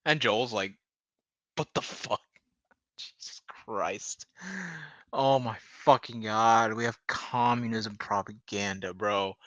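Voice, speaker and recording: Guy doing a sweary casual rant recap, punchy bright voice, dry but kinda dull recording. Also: on the low side, slow, long pauses, no echo. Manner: sweary, laughs through his words; mockingly acts out quoted dialogue. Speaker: video commentator. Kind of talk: casual ranting TV episode recap.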